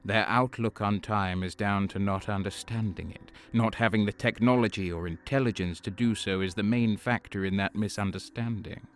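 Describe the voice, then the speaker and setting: Man voicing a low, portentous cosmic chronicler. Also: steady tone, normal speed, hardly any pauses, natural voice. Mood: solemn, disdainful. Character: solemn, all-knowing transcendent male being chronicling the universe. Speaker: narrator. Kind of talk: scripted dramatic monologue narration for a fan audio drama.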